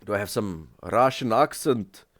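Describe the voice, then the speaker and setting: A man who is not a native English speaker, giving casual reaction commentary in a halting way, often searching for words and restarting. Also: on the low side, expressive, fairly bright tone, no echo, studio quality. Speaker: reaction video creator.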